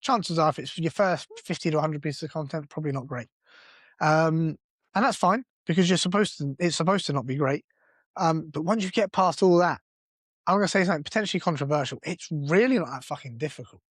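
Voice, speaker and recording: A man's voice: on the high side, a little fast, slightly rough, no room sound, clean studio sound. A man co-hosting a business podcast, talking bluntly and casually, with some swearing and self-mockery. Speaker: podcast co-host.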